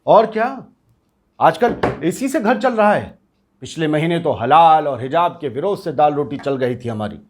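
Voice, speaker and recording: A cocky street rabble-rouser, played by a man in a satirical audio drama. His voice sits a bit high for a man, and he talks in brash, boastful slang with a cynical, menacing edge. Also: animated, moderate pace, noisy background, close to an everyday voice. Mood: defensive. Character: cocky, cynical street agitator paid to stir religious hatred. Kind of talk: scripted satirical dialogue in street Hindi.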